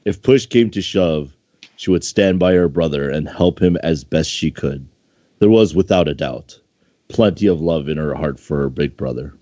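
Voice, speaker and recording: A man's voice: rumbling low, moderate pace, mellow. A man reading fan fiction aloud in an amateur, casual way, stumbling over the text and commenting on it. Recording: dull, roomy home microphone recording.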